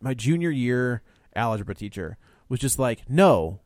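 Thirties man, animated pitch, goofy banter, dry close recording. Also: a little slow, no echo. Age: 30s. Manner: playful, jokey, laughs a lot. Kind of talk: casual chat.

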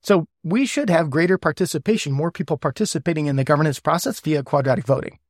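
Higher-voiced man explaining with examples. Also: quick pace, no echo, clean studio sound. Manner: walks through worked examples, checks in with "right?". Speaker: guest, governance lead. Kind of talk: explanatory interview talk.

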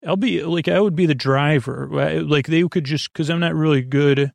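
Gravelly, creaky man rambling haltingly. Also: a little high, dry recording. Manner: halting, meandering, self-interrupting, digressive. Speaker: podcast host. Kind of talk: rambling bedtime sleep-podcast monologue.

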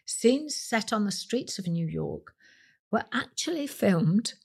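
A British woman hosts a quiz podcast in a husky, creaky voice. Her pitch sits a little low but swings widely as she reads out questions and reveals answers. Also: lively intonation, slow pace. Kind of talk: scripted quiz narration addressed to listeners.

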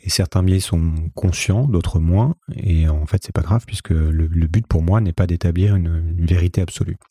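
A man's voice: low voice, normal speed, warm tone, husky, no echo, studio quality. A forty-year-old man from the Paris region with a Parisian French accent, speaking as a podcast host in a conversational, reflective solo monologue with a self-effacing manner. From France, Paris region.

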